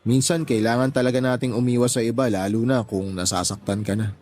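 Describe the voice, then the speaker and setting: A man playing a calm, supportive young boyfriend in a Tagalog radio drama, speaking in a reassuring, conversational way. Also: mid-range voice, brisk, natural voice. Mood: sympathetic, reassuring.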